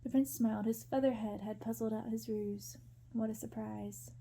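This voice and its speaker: A woman reading a story aloud as ASMR, with gentle, hushed narration. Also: fairly high pitch, fairly even intonation, normal speed, vocal fry, slightly rough. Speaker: ASMR reader.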